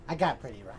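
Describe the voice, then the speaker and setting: Giggly low-voiced woman player. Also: noisy background. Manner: giggly, playful, childlike delivery. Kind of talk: in-character tabletop role-play.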